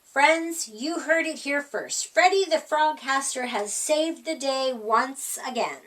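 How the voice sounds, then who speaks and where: A woman's voice: sits high, takes it slowly, fairly bright tone, low-quality recording. A woman voicing an upbeat, composed female frog TV meteorologist in a storybook, with emphatic announcing. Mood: proud announcing.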